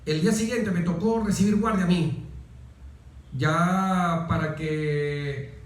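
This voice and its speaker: Echoey, mellow-voiced middle-aged Venezuelan man. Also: on the high side. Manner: emphatic repetition, rhetorical questions. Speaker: interview guest, military officer. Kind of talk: conversational anecdotal interview. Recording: very echoey, reverberant room.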